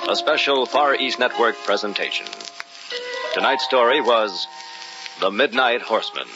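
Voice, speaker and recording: A man's voice: mid-range voice, moderate pace, lo-fi sound, over music. An American man reading credits as an old-time radio announcer, on a vintage recording.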